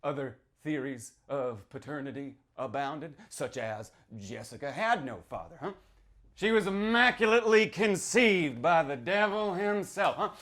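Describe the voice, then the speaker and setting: A man performing a dramatic reading as a haunted, folksy small-town man who tells his tale in halting, rueful asides. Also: a little high, lively intonation. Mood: fervent, conspiratorial. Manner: folksy, halting, rhetorical asides, swings between hush and outburst. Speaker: author reading from his novel. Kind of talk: theatrical dramatic reading, first-person monologue.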